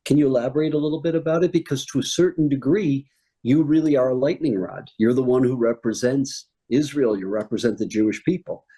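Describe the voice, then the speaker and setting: A man's voice: mid-range voice, fairly quick, dark tone, husky. A middle-aged American man hosting a live briefing, asking long rambling questions full of fillers. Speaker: briefing host.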